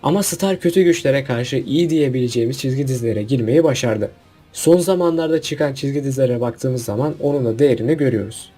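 A man's voice: medium pitch, fairly quick, warm tone, faint music behind. A man hosting a casual list video, giving opinionated commentary with jokey asides and rhetorical questions. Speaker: video host.

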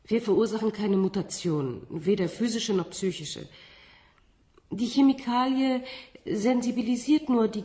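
An actress in a radio play, playing a composed woman scientist who explains things in a measured, slightly condescending way. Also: mid-range voice, mellow, natural voice. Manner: didactic, measured, with small rhetorical tags.

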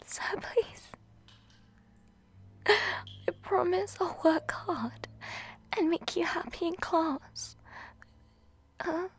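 Captive young woman, tearful whispered pleas, very high and slow, a woman acting her. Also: exaggerated voice. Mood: desperate pleading. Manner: breathy tearful whispering, pleading. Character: frightened young woman student held captive. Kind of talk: audio roleplay drama.

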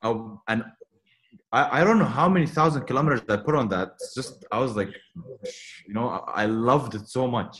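A man's voice: medium pitch, fast, rough recording. Chatty young man on a video call. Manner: rambling, animated, many restarts. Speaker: car content creator guest. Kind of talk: casual chat on a video call.

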